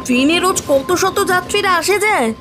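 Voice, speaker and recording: An actress playing a bold, impatient young college student in a horror audio drama, brisk and challenging. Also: female voice, very high-pitched, expressive, noisy background. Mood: annoyed.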